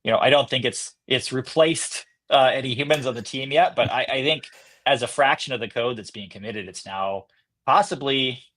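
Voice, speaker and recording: A man's voice: mid-range voice, normal speed, fairly bright tone. A man speaking casually as a company executive guest in an interview, feeling his way through his answers as he talks.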